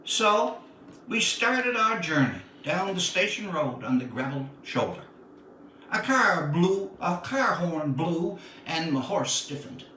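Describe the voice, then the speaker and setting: A man narrates a first-person literary story in a bright, slow voice that swoops expressively through each phrase. His delivery is dramatic and deliberate, like an author giving a reading. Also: sits high, very animated. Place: indoor room, live stream. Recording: echoey room, distant mic, faint noise.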